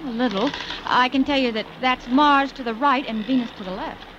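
A woman playing a gentle, observant, earnest young woman in an old-time radio drama, polite and careful, on a noisy old recording. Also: sits high, brisk, highs cut off, natural voice.

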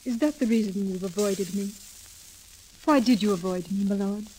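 A woman's voice: mid-range voice, normal speed, dark tone, slight background noise, lightly acted, rough recording. An actress playing an earnest, refined young noblewoman in 1930s radio drama style. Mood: anxious. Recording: old 1930s radio broadcast recording.

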